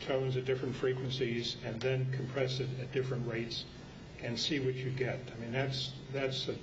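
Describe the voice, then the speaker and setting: A man with an average-pitched voice moderates an informal club meeting. He is some way from the microphone, on a muffled recording with clear background noise. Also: level tone, normal speed. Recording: muffled, noisy room recording, distant from microphone.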